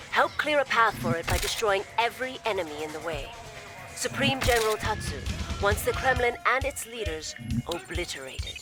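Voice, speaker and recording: An actress playing a poised, devoted military logistics officer in a video game cutscene, speaking in a formal, measured and deferential way. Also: female voice, mid-range voice, lightly acted. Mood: urgent, zealous. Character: poised, devoted female imperial logistics officer briefing commanders. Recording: loud music behind the voice.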